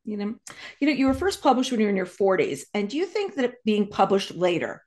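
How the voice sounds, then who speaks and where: A woman's voice: medium pitch, big pitch swings, a little fast, a little mellow. A middle-aged woman with an American accent, chatting casually as the host of an interview. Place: home room with bookshelves. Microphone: video call.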